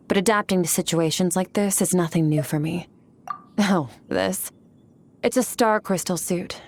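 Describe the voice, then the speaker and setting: A woman's voice: fairly low pitch, darkish tone, a little hiss or hum, natural voice. Woman voicing a cool, terse swordswoman. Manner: cool, clipped, matter-of-fact. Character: aloof young female swordswoman, cool and terse. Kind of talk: game cutscene voice acting.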